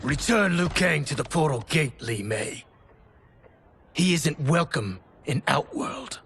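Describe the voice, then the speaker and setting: Gravelly, menacing traitor soldier. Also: male voice, on the high side, animated, slow pace, slight background noise, full character voice. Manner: threatening, taunting. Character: menacing traitorous male soldier enforcing a coup. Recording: loud music behind voice.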